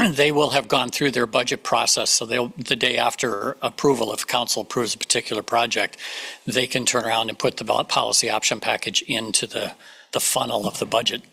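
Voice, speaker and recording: Hoarse man official with a bright voice. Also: mid-range voice, fairly even intonation. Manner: measured, explanatory, frequent restarts. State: hoarse from a cold. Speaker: government official advising the council. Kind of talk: public meeting remarks. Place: meeting room.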